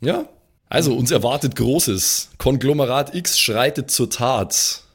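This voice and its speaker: A man's voice: medium pitch, lively intonation, unhurried, husky. A man chatting casually as a podcast cast member, slangy and dropping English words into his talk. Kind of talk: casual chat.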